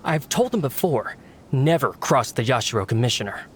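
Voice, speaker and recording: A man's voice: medium pitch, big pitch swings, normal speed, slight background noise, natural voice. A man playing a fretful, put-upon servant who complains in an exasperated way.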